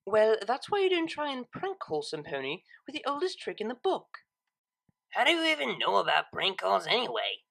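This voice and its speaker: A woman's voice: medium pitch, wildly swooping pitch, normal speed, vocal fry, a bit bright, pitched above the performer's own voice, exaggerated voice. A woman voicing a brash but awkward young female DJ unicorn in an audio story, talking in a casual, blunt way. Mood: exasperated. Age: young adult.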